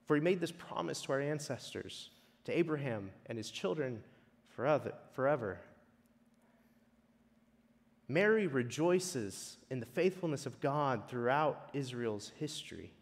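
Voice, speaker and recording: A man preaching a sermon in a measured way, leaning on emphatic repetition. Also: medium pitch, expressive. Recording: long reverberant hall echo.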